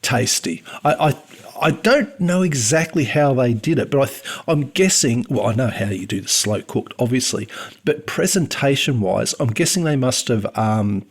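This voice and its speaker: A man's voice: medium pitch, lively intonation, moderate pace, husky. A man with an Australian accent, co-hosting a podcast and chatting at length, rambling with many restarts. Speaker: podcast co-host. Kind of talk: casual podcast chat.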